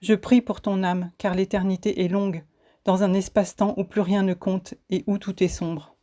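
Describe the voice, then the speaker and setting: A woman reciting spoken-word poetry in a solemn, declamatory manner, phrasing each line deliberately. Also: medium pitch, little pitch movement, dark tone. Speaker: poet reciting own poem.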